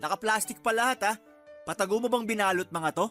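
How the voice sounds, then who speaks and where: A man's voice: high-pitched, quick pace, sharp tone. An actor playing a cocky, nosy young man in a Filipino radio drama, needling and bossy, with a Filipino accent. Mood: teasing, mocking. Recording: music bed under the voice.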